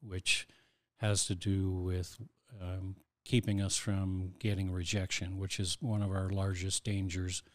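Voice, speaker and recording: A man in his sixties, a guest on a podcast, calmly explaining things with frequent ums. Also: low voice, no room sound. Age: 60s. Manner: explanatory, measured, with many ums. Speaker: heart transplant recipient guest. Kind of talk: casual interview talk.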